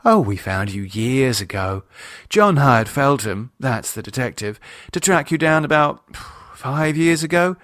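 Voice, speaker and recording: A man's voice: medium pitch, big pitch swings, unhurried, fairly bright tone, no echo, studio quality. A man voicing a composed, coolly ironic woman. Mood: matter-of-fact. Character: composed, teasing adult woman with a sharp edge. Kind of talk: audiobook character dialogue.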